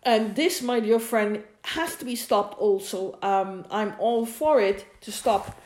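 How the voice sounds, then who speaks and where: A woman's voice: medium pitch, slow pace, darkish tone. A woman giving an informal opinion monologue as a podcast host, emphatic and repeating phrases for stress. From India.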